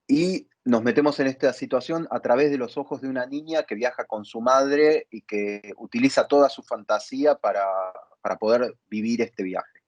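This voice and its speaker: A man hosting an interview as a dramaturge, speaking haltingly with pauses as he phrases his thoughts. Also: medium pitch, darkish tone, dry recording. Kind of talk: hosting an interview, partly reading from notes. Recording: dull lo-fi dry sound.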